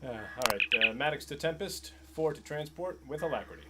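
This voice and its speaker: A man's voice: fairly high pitch, animated, brisk. A man talking casually and role-playing as a player in a tabletop game. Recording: loud background noise and clicks.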